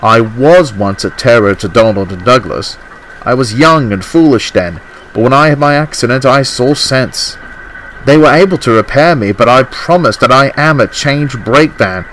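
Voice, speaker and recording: A man's voice: mid-range voice, expressive, moderate pace, a bit bright, a little hiss or hum, close to an everyday voice, low-quality recording. A man voicing a timid, repentant old brake van in a children's storybook, speaking in a hesitant, apologetic way. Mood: ashamed, earnest.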